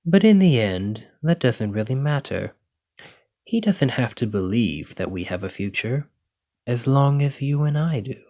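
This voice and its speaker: A man playing a gentle, bookish dragon-blooded librarian and devoted boyfriend in an ASMR roleplay, speaking in an earnest, reassuring way. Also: mid-range voice, very animated, measured pace. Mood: frank, reassuring.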